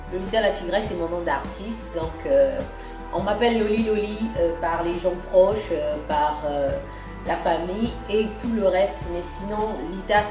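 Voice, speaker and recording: A woman from Congo chatting casually as a beauty vlogger, hesitant and full of fillers and restarts. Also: mid-range voice. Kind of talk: casual beauty vlog talk. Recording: echoey room with music behind. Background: background music.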